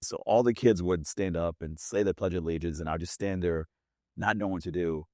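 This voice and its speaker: A man in his forties, born in Brazil and native in Portuguese, telling his life story as an interview guest, animated, with frequent restarts. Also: deep voice, fairly quick, no echo, studio quality. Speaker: guest, business owner.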